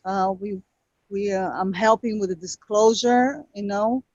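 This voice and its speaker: A woman with a Brazilian Portuguese accent, Portuguese her first language, calling in and speaking halting, emotional English with many pauses. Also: medium pitch, a little mellow, dry recording. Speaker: caller. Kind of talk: call-in conversation. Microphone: video call. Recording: lo-fi video call audio.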